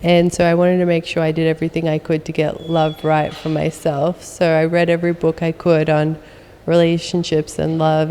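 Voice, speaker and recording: A woman's voice: deep voice, mellow, in a large hall, slight background noise. A young adult woman guest chatting in an interview, full of ums and uhs. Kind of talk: casual interview conversation.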